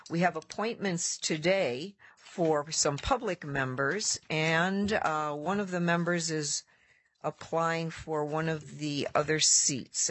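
A committee chair running a formal legislative hearing, reading out a report and moving through procedure briskly with filler pauses. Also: mid-range voice, fairly bright tone, no echo.

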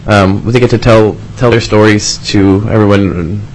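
A man's voice: fairly low pitch, fairly even intonation, a little slow, a little hiss or hum, poor audio. A man from Alaska who supports a youth program, speaking at a committee hearing in a rambling way with many restarts. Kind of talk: testimony. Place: legislative committee room.

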